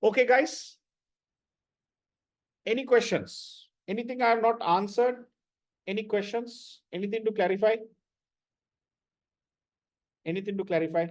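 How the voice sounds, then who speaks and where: High-voiced blunt male lecturer. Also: a little slow, long pauses. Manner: blunt, repetitive, asks "right?" a lot. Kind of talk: online class with students.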